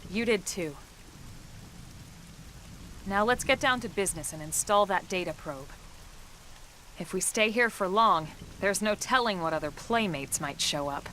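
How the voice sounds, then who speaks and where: An actress playing a composed, brisk military officer who instructs with measured authority in a video game. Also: female voice, medium pitch, expressive, bright, forceful tone, slight background noise. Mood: calm, businesslike. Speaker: squad leader and trainer. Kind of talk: scripted video game dialogue.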